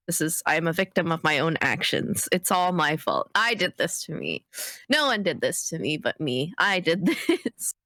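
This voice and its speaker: A woman's voice: mid-range voice, a bit bright, dry recording. Congested giggly woman streamer. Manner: giggly, rambling. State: congested, phlegmy. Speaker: player and streamer. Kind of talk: casual livestream chat.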